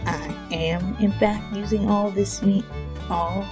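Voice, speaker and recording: A woman voicing a stubborn farm pony mare in a story reading, deadpan and folksy with a grumbling manner. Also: mid-range voice, slow pace, muffled recording, soft background music, natural voice. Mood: annoyed, firm.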